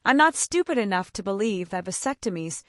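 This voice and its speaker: A woman's voice: mid-range voice, big pitch swings, brisk, no room sound, close to an everyday voice. A woman reads the part of a bitter husband in a bedtime-story narration. He is cold and curt, accusing his wife, in a dry, close recording. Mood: bitter, angry.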